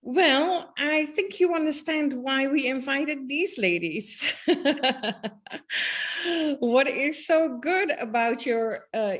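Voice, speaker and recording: A woman hosting an online panel, speaking in a reflective way. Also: on the high side. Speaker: host. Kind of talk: moderating a panel.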